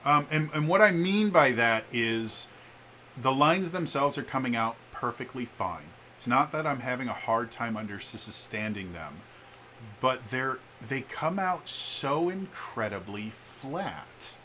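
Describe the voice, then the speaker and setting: A man giving a casual, opinionated vlog, with an expressive, emphatic delivery that shifts in volume and a stutter that catches on some words. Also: medium pitch, slow. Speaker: vlogger. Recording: background room noise.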